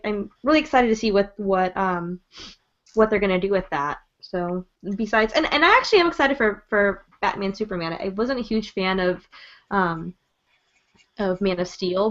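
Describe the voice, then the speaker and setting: A woman's voice: medium pitch, a bit bright. A woman in her twenties chatting casually on a panel, giggling often. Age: 20s. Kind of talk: casual chat. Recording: muffled lo-fi call audio.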